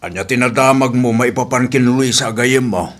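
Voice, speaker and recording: A man's voice: mid-range voice, fairly quick, close to an everyday voice. A man with an Ilocano Filipino accent playing a stern father scolding his wayward daughter in a radio drama. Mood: questioning, reproachful.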